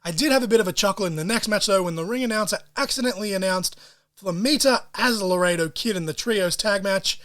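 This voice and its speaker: A man hosting a solo podcast, talking in an excitable, profane way full of wrestling-fan slang. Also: high-pitched, fast talker. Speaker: podcast host.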